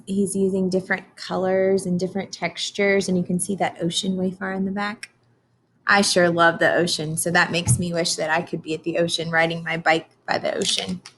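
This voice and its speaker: A woman's voice: mid-range voice, fairly bright tone, slight background noise. American woman teacher reading to children. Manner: instructional, prompting young listeners with questions. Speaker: teacher reading a picture book aloud. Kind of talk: children's read-aloud with simple explanations and questions.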